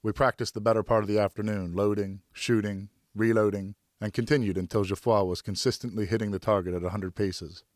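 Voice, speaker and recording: A man's voice: on the low side, fairly even intonation, a little fast, few pauses, dry recording, studio quality. A man reading a scripted first-person novel as an audiobook narrator.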